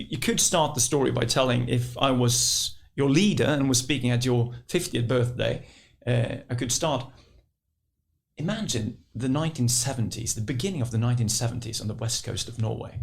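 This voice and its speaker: A man's voice: mid-range voice, vocal fry. A man with a Norwegian accent, co-hosting a conversational podcast, speaking hesitantly with frequent fillers. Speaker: podcast co-host.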